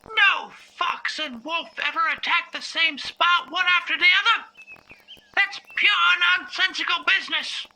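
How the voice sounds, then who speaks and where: Old country woman, high piercing voice, indignant, folksy, emphatic, rustic grammar, interview tape. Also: measured pace, pushed and bright, slight background noise, exaggerated voice. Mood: indignant, proud. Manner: rustic ungrammatical speech, emphatic. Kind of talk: dramatized interview testimony. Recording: low-quality interview tape.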